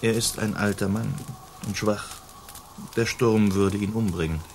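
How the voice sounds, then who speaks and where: A man's voice: on the low side, steady tone, measured pace, vocal fry, faint music behind. An actor playing a suave, self-assured nobleman in a radio play, speaking with polite composure. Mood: genial, casual. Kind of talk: German radio play acting.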